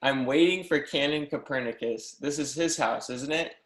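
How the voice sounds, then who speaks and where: A man's voice: fairly high pitch, normal speed, fairly bright tone, natural voice. A man playing an eager, deferential young mathematics professor in a stage play reading, earnest, effusive and formally polite. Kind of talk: readers theatre performance of a history play. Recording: echoey room.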